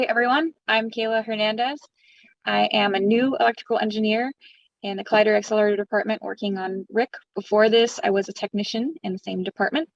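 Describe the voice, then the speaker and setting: A woman's voice: mid-range voice, vocal fry, no room sound. A young woman in her twenties, an engineer, introducing herself in an upbeat, chatty way. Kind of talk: casual self-introduction. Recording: dull, dry sound.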